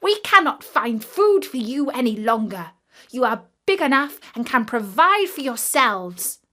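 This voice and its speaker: A woman's voice: a little high, big pitch swings, measured pace, fairly bright tone. A woman voicing a stern old raven parent scolding its young in a children's storybook tale. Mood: dismissive. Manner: scolding, harsh, emphatic.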